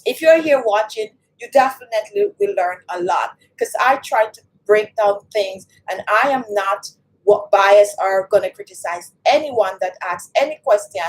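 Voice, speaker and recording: A woman's voice: medium pitch, moderate pace, poor audio. A woman from Jamaica, hosting a live beauty stream and chatting with viewers as she reads their comments. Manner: chatty, talks straight to the chat, reads viewer comments aloud. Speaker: beauty channel host.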